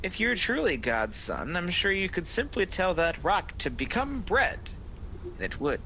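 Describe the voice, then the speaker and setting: An actor playing a sly, proud devil who taunts and tempts in a sardonic, theatrical, persuasive manner in a radio play. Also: male voice, high-pitched, very animated, unhurried, pushed and bright, heavily acted. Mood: mocking. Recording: noisy home video-call recording.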